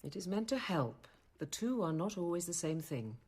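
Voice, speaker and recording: An actress playing a composed, dryly witty convent apothecary nun in a radio drama, measured and articulate. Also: female voice, lowish voice, very animated, darkish tone, breathy edge, dry recording, heavily acted. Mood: brisk, instructive. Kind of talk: radio drama acting and narration.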